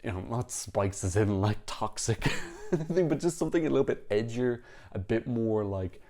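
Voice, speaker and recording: Low-voiced man giving blunt opinions. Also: expressive, a little mellow. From Ireland. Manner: frank, critical, talks in quick bursts with restarts. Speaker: Eurovision reaction vlogger. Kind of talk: opinionated casual commentary to camera.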